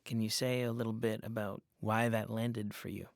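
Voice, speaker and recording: A man's voice: fairly low pitch, level tone, moderate pace, gravelly, no echo, clean studio sound. A man hosting a podcast interview, asking reflective questions.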